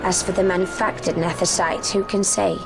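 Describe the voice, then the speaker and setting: An actress playing a composed, serious adventurer in a video game, speaking in a measured, formal, explanatory way. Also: female voice, lowish voice, fairly even intonation, gravelly, over music. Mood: grave, thoughtful. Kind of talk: video game cutscene acting. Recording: game score behind dialogue.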